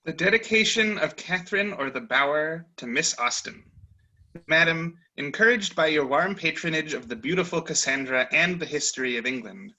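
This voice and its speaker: A man's voice: a little high, animated, normal speed, pushed and bright, heavily acted, rough recording. A man reading a formal, mock-humble period dedication as the author, in a dramatic reading over a video call.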